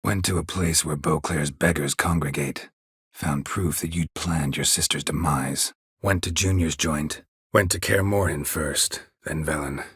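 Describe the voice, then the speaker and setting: Weary video game monster hunter: American, very husky, low, terse and deadpan. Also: male voice, unhurried, no echo, clean studio sound, full character voice. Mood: dry, matter-of-fact. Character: gruff, weary, sardonic male monster hunter.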